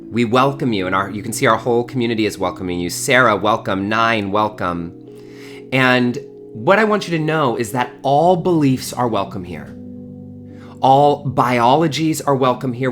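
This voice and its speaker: A man in his early thirties speaks to his online community as its host, in an average-pitched voice. His delivery is informal and gushing, with words repeated for emphasis. Faint music sits behind him. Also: fairly bright tone. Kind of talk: informal livestream talk to a community.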